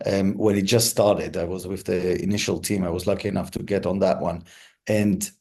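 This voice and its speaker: A man in his forties from Israel, answering interview questions in a relaxed storytelling way with frequent ums and restarts. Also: sits low, moderate pace. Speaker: interview guest, negotiator.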